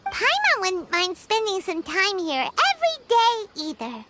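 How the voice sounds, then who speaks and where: A woman voicing a tiny, childish, excitable fairy companion in a video game. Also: very high voice, slow pace, heavy background noise, full character voice. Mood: delighted. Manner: childish, exclaiming, refers to self by name. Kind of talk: video game character voice acting.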